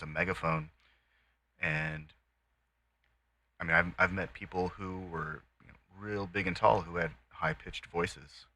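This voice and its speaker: A man with a deep voice, explaining things in a measured way during casual chat. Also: bright, forceful tone, no echo. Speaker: podcast co-host.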